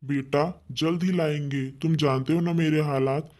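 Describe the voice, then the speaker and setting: A poor village father, played by a man in an animated moral story. His voice is dark and mellow, and he speaks in a gentle, fatherly way. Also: on the high side, a little slow, natural voice. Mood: reassuring.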